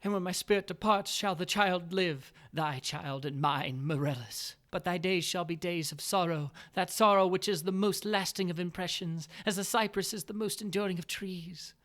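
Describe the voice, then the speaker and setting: A man reading aloud voices a dying, mystical young woman who speaks in solemn, archaic, drawn-out phrases. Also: on the low side, voice pushed up, lightly acted. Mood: solemn prophetic.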